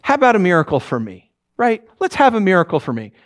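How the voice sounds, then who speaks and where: A skeptical, easily rattled guy, played by a male storyteller, argumentative and dismissive. His pitch is highish and very animated as he hedges and grumbles through a comic tale. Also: quick pace, exaggerated voice. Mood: grumbling, challenging. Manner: hedging, argumentative.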